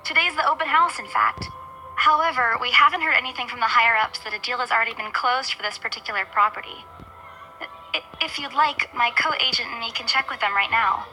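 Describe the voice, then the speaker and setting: A man voicing a polite young female real estate agent in a courteous, rushed falsetto. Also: sharp tone, faint music behind, full character voice, low-quality recording.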